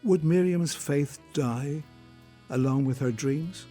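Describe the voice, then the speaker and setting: A man's voice: fairly high pitch, takes it slowly, dark tone, soft background music. A man narrating a biblical radio drama in a scripted storytelling style.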